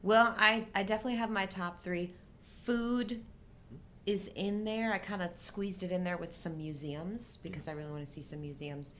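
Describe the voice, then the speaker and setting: Lowish-voiced middle-aged woman, noisy recording. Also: moderate pace, fairly bright tone. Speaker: co-host of a live show. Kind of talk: casual banter.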